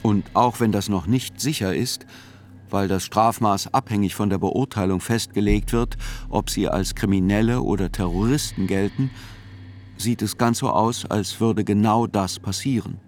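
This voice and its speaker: Guy narrating a radio play reportage, lowish voice, measured and dry, music behind him.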